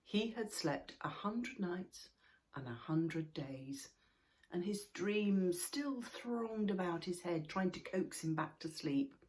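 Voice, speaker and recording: A woman narrating a children's story expressively and deliberately, sometimes dropping into a hush. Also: lowish voice, poor audio. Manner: expressive, deliberate, with hushed passages.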